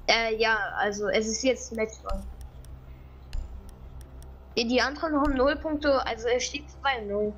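A woman's voice: a little high, unhurried, pauses often, fairly bright tone, slight background noise, rough recording. A woman talking casually as a fellow player in an online game. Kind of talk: casual gaming chat. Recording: music clearly behind voice. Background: game music.

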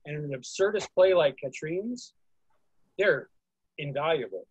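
A man's voice: on the high side, expressive, a little slow, husky, low-quality recording. A man moderating a casual group discussion over a video call, speaking as the host. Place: indoors, online video call. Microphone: video call microphone.

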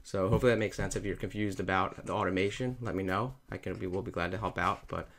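A man talking through a software tutorial over a screen recording, explaining each step plainly. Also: deep voice, fairly even intonation, quick pace. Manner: plain step-by-step explaining, repeats "you're gonna".

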